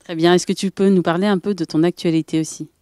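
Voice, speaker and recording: A woman's voice: fairly low pitch, steady tone, fast, darkish tone, clean tone, no room sound, clean studio sound. A woman hosting a TV interview, upbeat and full of compliments. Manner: enthusiastic, complimentary. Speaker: TV show host.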